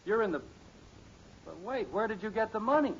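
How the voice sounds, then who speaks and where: An actor playing a patient, skeptical middle-aged father in a 1950s radio sitcom, speaking in a measured, wry way. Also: sits high, lively intonation, creaky. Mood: concerned. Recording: muffled, hissy old radio recording.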